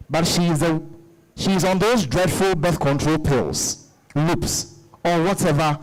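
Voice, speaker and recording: A man's voice: fairly high pitch, a little mellow, close to an everyday voice, poor audio. Man playing aggrieved husband, swooping voice. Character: frustrated, aggrieved husband complaining about his career-minded wife. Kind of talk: dramatized stage-style novel reading.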